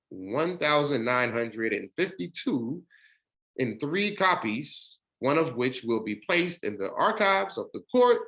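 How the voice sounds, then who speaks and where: A man's voice: fairly bright tone, low-quality recording. A man reading a court judgement aloud, stumbling over words and breaking off to explain it in his own words. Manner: rises in pitch and speeds up when explaining.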